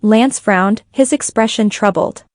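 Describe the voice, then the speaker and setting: A woman's voice: medium pitch, unhurried, a little mellow, no echo, clean studio sound. A woman reading scripted fan fiction as a story narrator.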